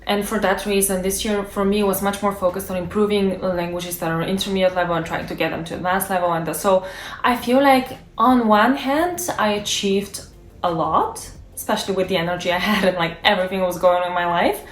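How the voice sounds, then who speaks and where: A woman's voice: mid-range voice, steady tone, a little fast. A young woman who is a native Bulgarian speaker, talking casually to camera as a language-learning YouTuber and thinking aloud with fillers and restarts. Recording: echoey room.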